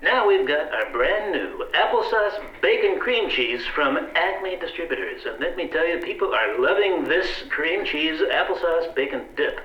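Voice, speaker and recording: A man with a slightly high voice pitches like an old-time radio salesman. The recording is echoey and a bit dull, with faint noise. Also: animated, measured pace, few pauses.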